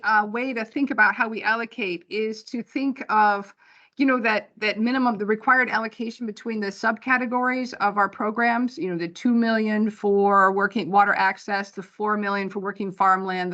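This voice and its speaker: Woman, program director, practical budget walkthrough at a board meeting; mid pitch, dull lo-fi recording. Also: steady tone, moderate pace, pushed and bright. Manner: plain, practical, walks through figures slide by slide. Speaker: program director presenting finances.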